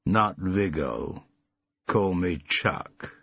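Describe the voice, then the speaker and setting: A man voicing a big, slow-witted young man who talks with a slight borrowed American twang in a staccato, rehearsed way. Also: sits low, animated, fairly bright tone, breathy edge, no room sound, dull audio, heavily acted. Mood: flat menace.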